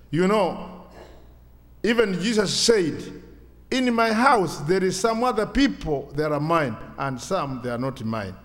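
A man in his sixties from Kenya with a Kenyan accent, a Swahili speaker giving a church testimony in halting, simple English. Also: high voice, long reverb tail. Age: 60s.

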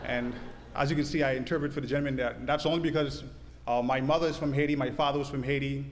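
A man's voice: mid-range voice, steady tone, fast talker. A man in his early twenties with a Bahamian accent, speaking to a congregation as interpreter and testimony-giver. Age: early 20s. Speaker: church interpreter and guest preacher. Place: church hall. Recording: echoey church hall, long reverb.

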